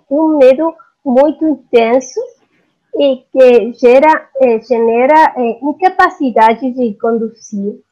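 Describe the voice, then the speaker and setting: A woman's voice: a little high, darkish tone, dull audio. A woman from Argentina with an Argentine Spanish accent, a psychologist presenting on a video call in Portuguese, her second language, searching for words and often checking in with "sim?". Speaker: psychologist speaker. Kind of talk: webinar presentation.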